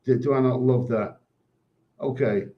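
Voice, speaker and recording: Man, live stream host, crude, rambling, prone to stammering repeats, mid-pitched. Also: unhurried, muffled recording. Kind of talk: casual livestream chat, reading comments.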